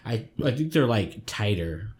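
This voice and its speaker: Laid-back young man with a mellow voice. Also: lowish voice, big pitch swings, measured pace. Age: 20s. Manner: laughing, joking, swearing. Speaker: podcast co-host. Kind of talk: casual chat.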